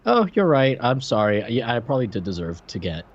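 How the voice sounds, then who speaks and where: A man's voice: mid-range voice, sing-song, fairly quick. A man co-hosting a podcast in casual chat, sounding sarcastic and incredulous. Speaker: podcast co-host. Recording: dry, slightly dull microphone sound.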